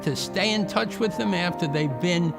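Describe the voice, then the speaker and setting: A man giving a measured, formal statement on camera in support of a youth program. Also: sits high, creaky, over loud music.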